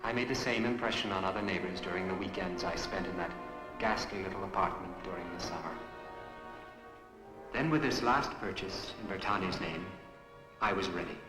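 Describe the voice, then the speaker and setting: Actor as deep, echoing, smug murderous schemer. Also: male voice, natural voice. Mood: smug, satisfied. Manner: formal, measured, self-satisfied. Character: smug, scheming nephew narrating his murder plot. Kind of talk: scripted radio thriller narration. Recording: echoey, long reverb, music underneath. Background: music.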